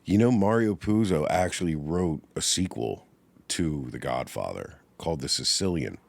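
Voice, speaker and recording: Brief: very low-voiced male podcast host, lively swings in his delivery, swears freely. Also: normal speed, vocal fry. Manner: animated, profane, laughs often. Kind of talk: casual film discussion.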